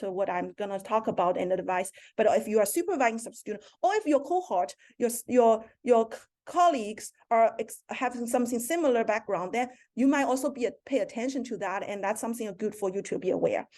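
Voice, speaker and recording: Woman speaker, somewhat high voice, halting delivery with restarts, heard over a video call. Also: warm tone. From China. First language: Mandarin. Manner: halting, frequent restarts and self-corrections. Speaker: seminar speaker, professor. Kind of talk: virtual seminar talk.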